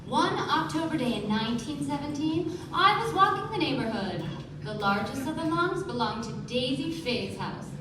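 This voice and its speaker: A woman's voice: high-pitched, lively intonation, normal speed, background noise. A woman acting a poised young socialite who narrates dramatically in a live radio play. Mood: dramatic storytelling. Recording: echoey stage, distant mic, music behind.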